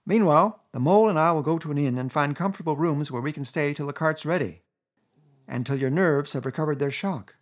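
A man voicing a stern, sensible male water rat who talks firmly and with exasperation, in a husky voice. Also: a little high, pitched above the performer's own voice, lightly acted. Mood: exasperated. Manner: firm, brisk, exasperated.

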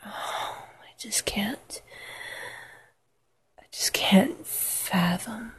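A woman whispering an intimate, sensual erotic monologue to the listener in a breathy, drawn-out way. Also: mid-range voice, expressive. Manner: slow, sensual, drawn-out delivery with soft moans.

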